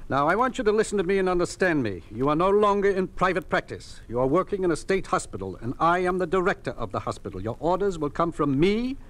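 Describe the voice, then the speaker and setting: An actor playing a cold, domineering hospital director in an old radio drama, with a commanding, condescending manner. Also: male voice, sits high, sing-song, fast talker, hardly any pauses, fairly bright tone. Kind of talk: 1950s radio drama acting. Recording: old 1950s radio recording.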